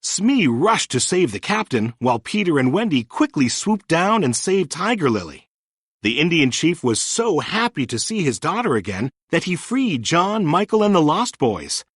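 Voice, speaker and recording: A man narrating a children's story aloud in an expressive read-aloud style. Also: a little high, moderate pace, husky, no echo, studio quality.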